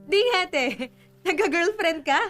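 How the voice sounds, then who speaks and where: A high, lively voice from an actress plays a frank young Filipino daughter in a radio drama. She is candid and teasing but reassuring, with an animated range of pitch and music underneath. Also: full character voice. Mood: surprised, teasing. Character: frank, cheerful 21-year-old daughter seeking the truth. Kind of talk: conversational radio drama acting. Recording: music bed under the dialogue.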